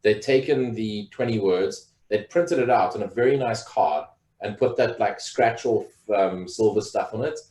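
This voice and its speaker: A man's voice: lowish voice, normal speed, dark tone. A man co-hosting a casual live talk show, telling stories in a rambling way with many fillers. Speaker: podcast co-host. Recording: roomy echo.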